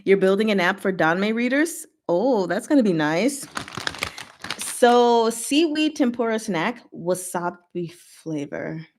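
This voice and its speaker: A woman's voice: medium pitch, animated, takes it slowly. A woman hosting a livestream, chatting informally and giggly as she reads and answers viewer comments. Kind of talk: casual livestream chat, reading comments aloud.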